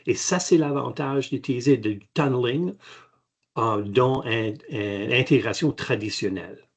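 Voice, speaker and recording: Husky halting man explaining tech. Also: medium pitch. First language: French. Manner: halting, frequent restarts and fillers. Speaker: company chairman, guest expert. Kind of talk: hesitant technical webinar answers. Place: video call.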